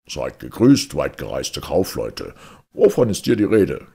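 A man playing a proud castle commander and royal servant in a fairy tale, formal and courtly, with a gravelly, husky voice. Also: very deep voice, wildly swooping pitch, a little slow, mellow, heavily acted. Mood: brisk, authoritative.

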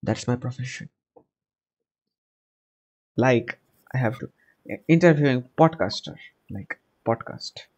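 A man in his twenties hosting a casual conversation podcast over a video call, talking from a small room and often pausing to find his words. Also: mid-range voice, expressive, a little mellow, lo-fi sound. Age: 20s. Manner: hesitant, searching for words. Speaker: podcast host. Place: small home room, indoors. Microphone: headset.

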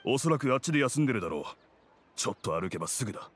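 A man voicing a gruff middle-aged blacksmith in a game, speaking bluntly and plainly. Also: mid-range voice, very animated, very fast, a little hiss or hum, close to an everyday voice.

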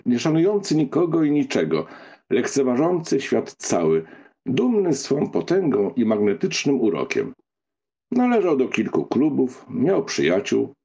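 A man reading a literary novel as an audiobook narrator, with an expressive storytelling delivery. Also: lowish voice, husky.

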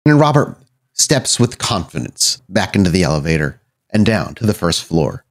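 A man's voice: low-pitched, very animated, normal speed. A man narrating a tabletop roleplaying game as the game master. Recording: music behind the voice.

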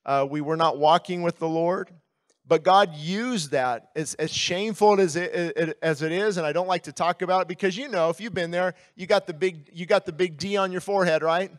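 An American man in his fifties, a pastor giving a candid, conversational testimony with pauses and repeated words into a handheld microphone. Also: high-pitched. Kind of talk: sermon with personal testimony. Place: outdoor stage at a baseball stadium in the evening.

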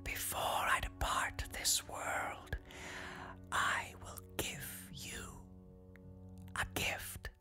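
Narrator voicing a hushed, whispering Christmas tree. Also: drawn-out words, long pauses, faint music behind, heavily acted. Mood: solemn, mysterious. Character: whispering talking Christmas tree, solemn and gentle.

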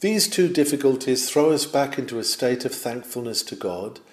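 A man's voice: medium pitch, expressive, moderate pace. Man reading an old sermon, roomy echo. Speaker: sermon reader. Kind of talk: reading a 19th-century sermon aloud.

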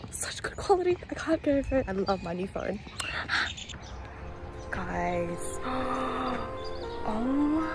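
A woman's voice: on the high side, echoey room. A woman in her twenties vlogging casually to a phone at arm's length, chatty and often whispering. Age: 20s. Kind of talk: casual vlog chat. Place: small bedroom indoors, then outdoors on walks. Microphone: phone held at arm's length.

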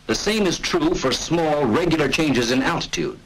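A man giving scripted, measured and authoritative narration for a 1940s military training film. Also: a little high, expressive, husky, low-quality recording.

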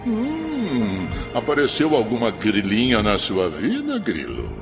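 Kindly thoughtful cartoon friend, brazilian, talks slow, super swoopy intonation, loud music behind. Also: male voice, medium pitch, huge pitch swings, exaggerated voice. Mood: puzzled, pondering. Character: gentle, thoughtful male friend, slow to answer, fatherly advisor. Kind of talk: animated cartoon dub acting.

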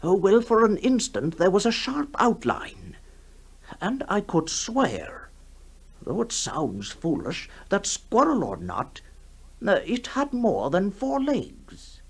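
A man voicing a timid, wondering country vicar in a radio ghost story, speaking haltingly. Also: high-pitched, wildly swooping pitch, vocal fry, breathy edge, pitched above the performer's own voice, full character voice. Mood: awed, uneasy.

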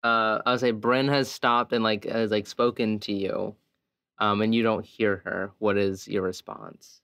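Slow-talking man narrating roleplay, close dry sound. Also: fairly low pitch, flat delivery, fairly bright tone, no room sound. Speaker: tabletop game player.

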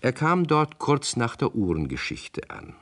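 An actor narrating a 1960s German children's radio play as a storyteller. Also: male voice, medium pitch, wildly swooping pitch, measured pace, a bit bright, a little hiss or hum. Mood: storytelling. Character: male storyteller addressing young listeners.

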